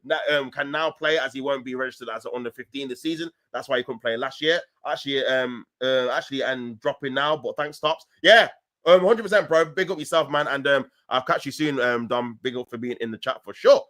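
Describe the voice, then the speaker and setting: Fast-talking London man, animated football streamer. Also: mid-range voice. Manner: animated, slangy, emphatic. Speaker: football fan channel livestream host. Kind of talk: casual live chat, reading and answering viewer comments.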